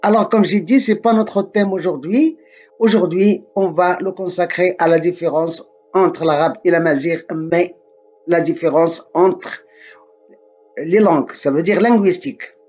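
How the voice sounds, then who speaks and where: A man from Morocco giving a slow, deliberate spoken lesson, often repeating and correcting himself. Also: high-pitched, a little mellow, lo-fi sound, soft background music.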